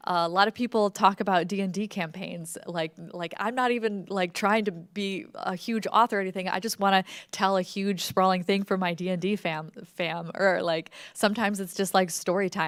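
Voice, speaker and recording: A woman's voice: medium pitch, clean tone, no room sound, clean studio sound. A woman giving an informal workshop presentation as a podcast creator, talking in a conversational, enthusiastic way peppered with "like". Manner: conversational, enthusiastic, wordy with frequent "like".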